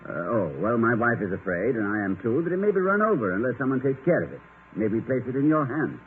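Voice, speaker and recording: A man's voice: medium pitch, big pitch swings, quick pace, natural voice. Man playing a flustered, wordy professor, tinny radio. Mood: wry. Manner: wordy, stammering, exasperated politeness. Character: erudite, flustered, wry college professor husband. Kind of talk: 1950s radio comedy acting. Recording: tinny, noisy 1950 radio recording.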